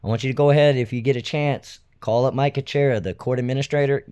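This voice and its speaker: A man's voice: medium pitch, fairly even intonation, fast talker, warm tone, smooth. A man giving unscripted commentary to his viewers in a blunt, emphatic, indignant and profane way. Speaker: video host, self-styled citizen watchdog.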